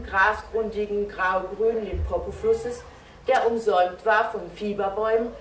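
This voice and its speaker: Gesucht: Märchenerzählerin, betont und genüsslich, leise Hintergrundgeräusche. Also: female voice, medium pitch. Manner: slow, emphatic, savoring each word. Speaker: storyteller. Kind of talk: slow dramatic storytelling to children. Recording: roomy, distant hall recording with faint noise.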